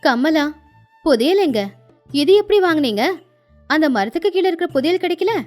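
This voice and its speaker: High-voiced greedy village wife played by a woman. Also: animated, normal speed. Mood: impatient. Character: Kanaka, quarrelsome, greedy, boastful village wife. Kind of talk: acted moral story for children. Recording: faint music underneath.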